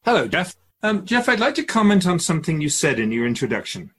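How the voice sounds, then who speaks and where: Man acting immortal spirit guide, husky, serene, formal, measured, instructive, radio drama. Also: on the high side, very animated, fairly bright tone, exaggerated voice. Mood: calm, instructive. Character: male-voiced immortal spirit keeper of souls, serene and instructive.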